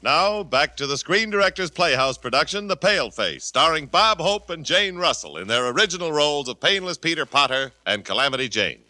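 A man's voice: fairly high pitch, very animated, brisk, hardly any pauses, sharp tone, soft background music. An American man announcing and narrating a 1950 radio show in a polished, declamatory style. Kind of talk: 1950 radio scripted narration and sponsor pitch.

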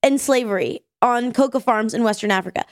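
A woman's voice: fairly high pitch, fairly quick, no echo, studio quality. A woman co-hosting a casual podcast, chatty and sick with a nasal voice. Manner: chatty, tells stories with acted quotes. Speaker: podcast co-host.

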